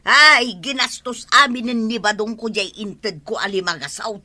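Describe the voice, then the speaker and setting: A woman's voice: mid-range voice, animated, normal speed, sharp tone. A woman with an Ilocano accent playing an exasperated village wife in a comedy drama. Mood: complaining. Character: exasperated village wife complaining about her husband.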